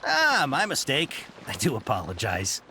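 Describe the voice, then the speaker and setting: A man's voice: mid-range voice, wildly swooping pitch, unhurried, heavy background noise. A man voicing a polite, slightly shifty young shop clerk in a video game, courteous and apologetic. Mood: courteous, businesslike. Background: game music.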